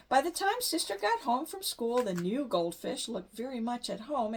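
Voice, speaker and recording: An older woman gently reading a children's picture book aloud at home. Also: a little high, vocal fry, husky. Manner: gentle expressive read-aloud. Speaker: storyteller.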